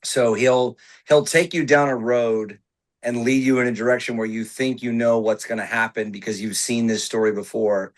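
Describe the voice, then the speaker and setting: A man's voice: mid-range voice, steady tone, moderate pace. Thoughtful male actor in interview. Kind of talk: interview answer.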